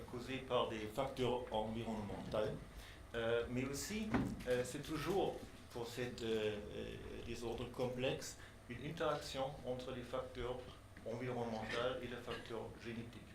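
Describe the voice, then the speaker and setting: A man presenting his research in French, which is not his native language, speaking haltingly with many pauses and restarts. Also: medium pitch, fairly even intonation, creaky. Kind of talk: hesitant formal presentation in a second language. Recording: echoey room, faint background noise.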